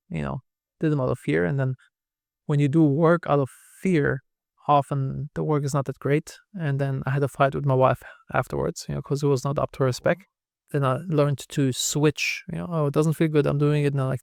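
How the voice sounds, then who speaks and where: A man's voice: mid-range voice, gravelly, a little mellow, dry recording, clean studio sound. A man with a German accent, a podcast guest telling candid, self-deprecating stories. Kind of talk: casual interview talk.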